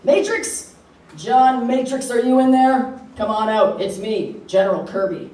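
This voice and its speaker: A woman playing a brisk, blunt army general in a comedic stage radio play. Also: fairly high pitch, dark tone, natural voice. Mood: commanding. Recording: roomy distant hall, faint noise.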